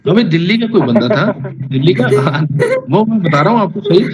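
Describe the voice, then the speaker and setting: A man's voice: high voice, sing-song, fairly quick, a crowd in the background. A man teaching an online singing class in a casual, rambling way, talking straight to his students and singing note names. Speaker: music teacher. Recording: lo-fi online class audio with faint noise.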